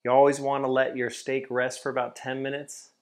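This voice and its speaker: A man in his thirties hosting a home grilling vlog, upbeat and hyped, who breaks into loud whoops. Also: mid-range voice, monotone, fairly quick. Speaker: grilling channel host. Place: home kitchen and backyard.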